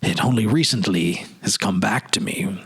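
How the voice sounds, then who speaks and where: A man playing a weary, haunted politician of forty in a storybook reading, confessing his regrets in a halting, sorrowful, dramatic way. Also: on the low side, slightly rough. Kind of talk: dramatic literary audiobook reading.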